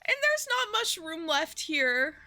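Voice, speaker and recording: Young woman streamer, bubbly and self-mocking, lively swooping delivery, a bit phlegmy from a cold. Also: extremely high pitch, takes it slowly, soft background music. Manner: chatty, giggly, self-deprecating. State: slightly phlegmy, lingering cough. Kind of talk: casual stream chat.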